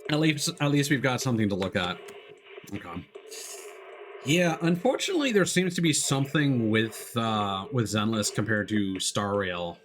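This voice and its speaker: Low-voiced man chatting on stream. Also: expressive, normal speed, faint music behind. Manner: rambling, chatty, frequent restarts. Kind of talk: casual stream chat.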